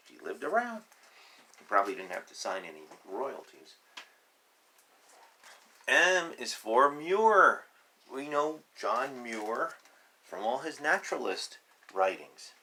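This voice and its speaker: A man with a bright, forward voice reads a children's picture book aloud. His pitch swings widely as he lands each short phrase with care. Between lines he drops into playful, conversational asides. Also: vocal fry. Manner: deliberate, emphatic, pausing between short phrases.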